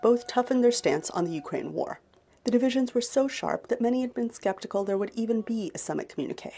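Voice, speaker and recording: A woman correspondent delivering a measured news report. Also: on the high side, lively intonation, gravelly, mellow, soft background music.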